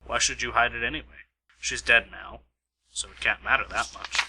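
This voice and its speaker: A man's voice: medium pitch, fairly even intonation, moderate pace, sharp tone, husky, low-quality recording. A man playing an earnest, questioning investigator in an amateur radio mystery. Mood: pressing. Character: earnest, questioning male investigator, detective's partner. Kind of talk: amateur radio play acting.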